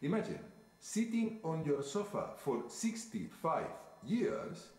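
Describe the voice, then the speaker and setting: Slow, lively Spanish-accented man on video. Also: on the high side. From Spain. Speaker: statistics specialist. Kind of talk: explaining facts on video. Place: indoor room, played into lecture hall. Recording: echoey playback through room speakers.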